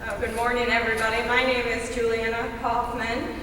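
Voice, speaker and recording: A woman's voice: a little high, flat delivery, a little fast. An American woman speaking informally on stage to an audience. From rural Kansas, USA. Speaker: readers theater performer. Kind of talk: informal self-introduction to an audience. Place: large echoey room before a live audience. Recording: echoing hall, distant mic, faint noise.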